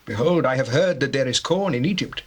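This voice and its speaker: An actor playing an aged patriarch, giving a solemn, urgent exhortation in a scripture drama. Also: male voice, mid-range voice, expressive, brisk, close to an everyday voice. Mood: urgent, chiding. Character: Jacob, aged patriarch urging his sons. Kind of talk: classical dramatized scripture reading.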